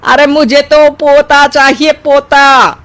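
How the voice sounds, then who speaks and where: A performer voicing a spiteful old grandmother in a melodramatic family story, scolding and taunting in a drawn-out way. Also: high-pitched, level tone, a bit bright. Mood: bitter taunting.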